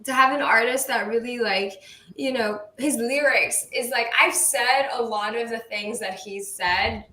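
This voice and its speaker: A woman's voice: on the high side, unhurried, pushed and bright, echoey room. A woman co-hosting a casual online music radio chat, rambling with many "like"s and restarts. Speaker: co-host.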